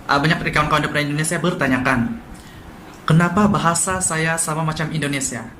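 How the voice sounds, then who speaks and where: A man from Sabah with a Sabah Malay accent, explaining language differences in a quick, lively vlog style and mixing in English words. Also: a little high. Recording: echoey room with faint noise.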